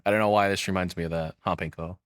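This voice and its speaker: A man's voice: sits low, steady tone, fast, dry recording, studio quality. A man giving casual, unscripted reaction commentary as a video host, blunt and profane, exclaiming when worked up.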